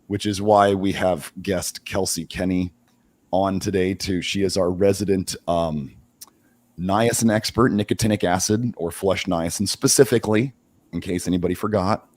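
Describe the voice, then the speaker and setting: A middle-aged man with a hoarse voice hosting a casual livestream, talking in halting bursts with many restarts. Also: low-pitched, fairly even intonation, darkish tone, dry recording. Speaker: host.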